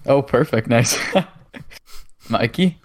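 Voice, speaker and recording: A man's voice: medium pitch, drawn-out words. A man co-hosting a podcast, reading out trivia hints in an easygoing way and laughing often. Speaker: podcast co-host, quiz giver. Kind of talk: casual chat.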